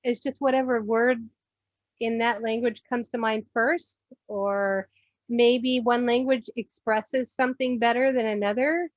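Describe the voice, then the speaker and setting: A middle-aged woman instructor with a fairly high voice, speaking slowly and easily as she puts questions to her class. The recording is dull and dry, with little room sound. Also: no room sound. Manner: relaxed, questioning, laughs often. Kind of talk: classroom discussion.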